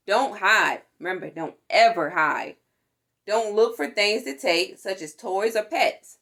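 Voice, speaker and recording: A woman reads a picture book aloud for young children. Her mid-range voice moves in a lively, animated way across its pitch. She takes her time, speaking slowly and deliberately, and gives little exclamations a playful touch. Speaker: storytime reader for a fire department.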